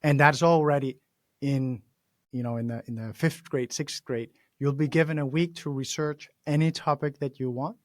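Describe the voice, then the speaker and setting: A man from Denmark with a Danish accent, hosting an interview in an animated, chatty way with frequent laughs. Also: mid-range voice, normal speed, dry recording, studio quality. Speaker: podcast host. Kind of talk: casual interview conversation.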